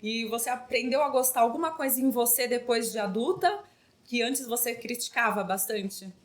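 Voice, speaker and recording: A chatty young woman hosts a relaxed podcast interview. Her voice sits a little high, and she laughs easily while her questions wander on at length. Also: normal speed. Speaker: podcast host. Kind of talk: casual interview chat.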